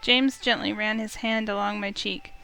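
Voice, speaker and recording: A woman reading a visual novel aloud as an expressive first-person story, with music underneath. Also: mid-range voice, moderate pace, vocal fry, smooth.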